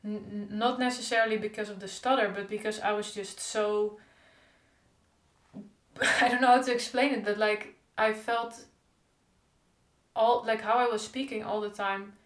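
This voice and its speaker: A woman's voice: medium pitch, steady tone, normal speed, long pauses. A young woman who stutters openly with repeated sounds, talking in a casual diary-style vlog. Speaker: stuttering vlogger. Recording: echoey room.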